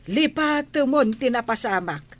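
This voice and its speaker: A woman's voice: fairly high pitch, animated, a little slow. An actress playing a patient, nagging older mother giving advice in an audio drama. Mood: chiding. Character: patient, nagging older mother advising her grown child. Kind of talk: Ilocano audio drama acting.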